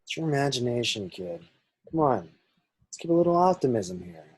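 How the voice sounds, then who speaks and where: A man playing a cocky, wisecracking smuggler pilot with a husky voice and nervy bravado, in a script table read. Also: medium pitch, sing-song, a little fast, a little mellow, no echo. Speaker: actor in an online table read. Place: remote video call, indoors.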